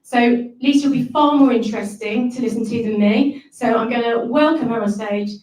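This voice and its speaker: Woman with a loud voice, managing director's welcome, heard from a distance in a reverberant hall. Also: on the high side, moderate pace. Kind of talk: welcome speech at a graduation ceremony. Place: full event venue. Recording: echoey venue, voice far from the mic.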